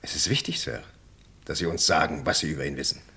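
An actor playing a British police sergeant in a German radio crime play, polite and soothing yet insistent. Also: male voice, very deep voice, animated, fast talker, bright, forceful tone, full character voice. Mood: pressing. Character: persistent, coaxing male British police sergeant questioning witness.

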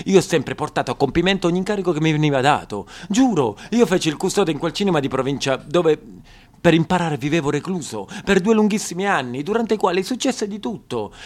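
Theatrical man with a lively voice. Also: a little high. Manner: theatrical, swinging between scolding and storytelling. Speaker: stage actor. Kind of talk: theatrical monologue for radio.

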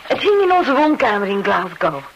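Through faint hiss of an old radio play comes a polite widow. She is matter-of-fact and speaks at a middling pitch for a woman. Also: very animated, moderate pace, highs cut off. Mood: reminiscing. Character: polite, matter-of-fact widow Missus Barclay showing paintings. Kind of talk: Dutch-language radio play acting. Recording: hissy, noisy old radio recording.

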